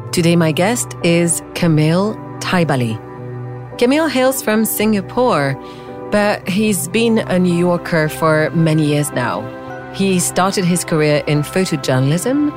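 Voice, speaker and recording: A woman hosting a podcast, reading a scripted intro and then chatting with a guest in a curious, chatty way, laughing at herself now and then. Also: fairly low pitch. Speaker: podcast host. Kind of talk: scripted intro then casual interview chat.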